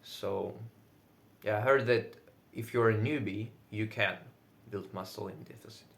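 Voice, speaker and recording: A man whose first language is Russian, speaking English with a Russian accent in casual solo talk, now and then pausing to search for words and swearing lightly. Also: fairly low pitch, steady tone, vocal fry. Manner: says he is loud most of the time. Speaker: video creator.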